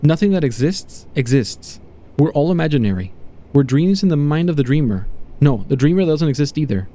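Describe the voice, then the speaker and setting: A man playing an agitated condemned prisoner in a horror audio story, halting and emphatic as he unravels. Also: on the high side, expressive, warm tone, natural voice. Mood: delirious conviction. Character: condemned male prisoner unraveling from irritation into awed despair.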